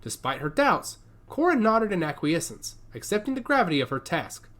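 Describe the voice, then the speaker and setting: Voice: mid-range voice, big pitch swings, normal speed, fairly bright tone. A narrator reading a dramatic scripted story in the manner of an audiobook.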